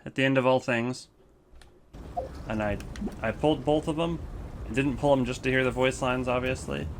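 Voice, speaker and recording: A man's voice: medium pitch, normal speed, a little hiss or hum. A man giving casual, rambling commentary, thinking aloud as he goes. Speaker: video creator ranking game voice lines.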